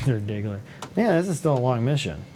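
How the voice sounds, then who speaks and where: Lively-voiced man playing a game. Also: mid-range voice, a little hiss or hum. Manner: playful, laughing, quick reactions. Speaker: gamer playing a space combat game. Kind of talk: casual let's-play commentary.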